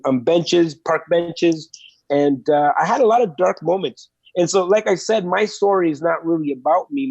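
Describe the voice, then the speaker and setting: A man's voice: a little high, a little mellow, no echo, muffled recording. A man in his forties, from El Salvador, earnestly telling his life story as a podcast guest, with many fillers and restarts.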